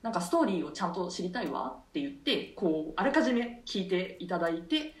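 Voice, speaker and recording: A woman's voice: on the low side, sing-song, fast. A woman, an actress co-hosting a radio show, explaining casually among colleagues. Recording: echoey room, distant mic.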